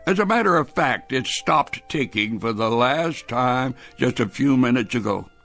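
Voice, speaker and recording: An old great-grandfather in a radio play, gentle but stubborn. His voice is gravelly and swings up and down as he talks in a slow, folksy, stammering way, with music underneath. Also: mid-range voice, lively intonation. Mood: confiding. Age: older. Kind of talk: radio drama acting.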